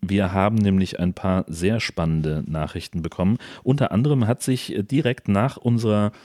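A man with a deep voice co-hosts a casual film podcast. He speaks conversationally, with a dry, wry manner. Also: normal speed, a little mellow. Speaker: podcast co-host. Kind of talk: casual podcast chat about films.

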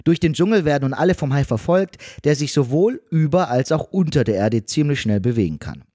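A man's voice: on the high side, animated, a little fast, warm tone, no room sound, clean studio sound. A man reading a scripted comedic film recap in a wry, sarcastic manner.

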